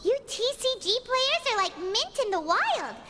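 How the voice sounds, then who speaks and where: Very high, squeaky little fairy companion. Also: female voice, very animated, a little slow, background noise, heavily acted. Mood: curious, cheeky. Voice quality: squeaky, childlike. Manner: chirpy, forthright. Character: small childlike floating fairy companion, perky and cheeky. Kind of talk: anime video game dialogue. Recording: game music behind the voice.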